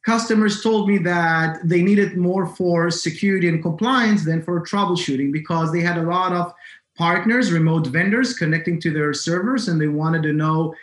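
A man's voice: a little high, moderate pace. A middle-aged man with an Israeli accent, talking conversationally as an interview guest. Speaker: guest, cybersecurity entrepreneur. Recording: roomy, echoing sound.

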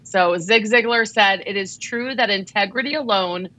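Bright, affirming woman podcast co-host. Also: medium pitch, normal speed, a little hiss or hum. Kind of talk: casual podcast chat giving advice.

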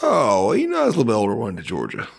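A man's voice: on the low side, wildly swooping pitch, very fast, creaky, slightly rough. A man co-hosting a casual podcast, stuffed up from allergies, joking in a dry, sighing way. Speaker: podcast co-host.

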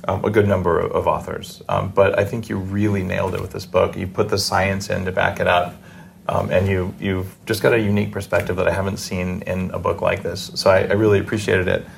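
A man hosting a podcast interview, talking in an informal, earnest and slightly halting way. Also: low-pitched, little pitch movement, vocal fry, a little hiss or hum. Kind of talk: conversational interview.

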